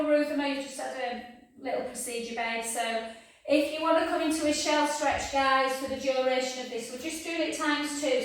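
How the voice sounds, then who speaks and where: A woman pilates instructor with a croaky voice, giving encouraging step-by-step cues to a live class and calling them guys. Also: sits high. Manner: encouraging, calls students babe and guys. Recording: echoey room, voice from far off.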